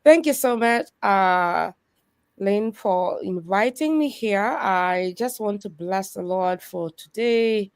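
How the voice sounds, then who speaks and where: A woman's voice: medium pitch, takes it slowly, clean tone, dry recording. A woman from Kenya, a guest sharing her faith and business story in an earnest, testimonial way. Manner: earnest, testimonial, with soft laughs. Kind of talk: faith-based conversation.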